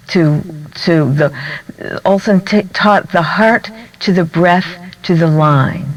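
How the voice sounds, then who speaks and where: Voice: medium pitch, rough recording. A poet speaking thoughtfully in an interview, explaining how writing and performance work. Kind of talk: interview talk on writing poetry.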